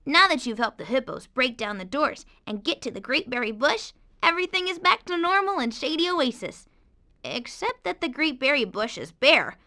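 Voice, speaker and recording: A woman playing a perky, friendly young female faun who narrates video game cutscenes in an expressive storybook way. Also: high-pitched, moderate pace, heavily acted. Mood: wry, chatty. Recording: game music under the voice.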